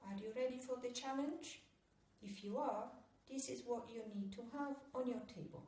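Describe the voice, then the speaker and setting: A woman's voice: fairly high pitch, moderate pace, roomy sound. A woman with an Italian accent from Italy, leading a step-by-step crochet tutorial in a playful, chatty way with small jokes. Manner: playful, chatty, gently joking instructions. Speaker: crochet teacher.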